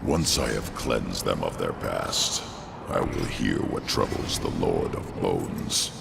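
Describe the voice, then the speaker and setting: An actor voicing a stern, weary ancient judge of lost souls, with a gravelly, very deep voice. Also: male voice, slow, heavy background noise, exaggerated voice. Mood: solemn. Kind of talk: game cutscene voice acting.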